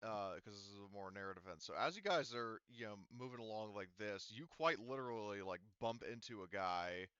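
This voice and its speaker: A man's voice: on the low side, lively intonation, a little fast, hardly any pauses, a bit bright, smooth, no echo, muffled recording. A man running a tabletop roleplaying game as game master, explaining things casually and somewhat hesitantly.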